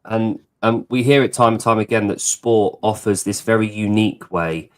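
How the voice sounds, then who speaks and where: Low-voiced male podcast host. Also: fairly even intonation, normal speed. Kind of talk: interview.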